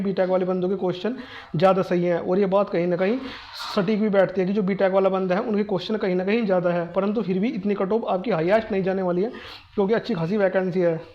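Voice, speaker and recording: Fast-talking up guy giving cutoff advice, keeps going "theek hai doston", kinda monotone, muffled lo-fi audio. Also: sits high. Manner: repeats "ठीक है दोस्तों", fast insistent reassurance. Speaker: exam coaching video host. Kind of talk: direct-address exam advice.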